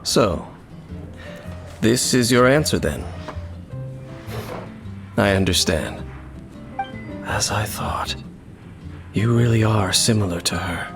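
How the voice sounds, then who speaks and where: An actor playing a stoic young male wanderer in a game, calm and measured. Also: on the low side, gravelly. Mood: grave. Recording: game audio with music underneath.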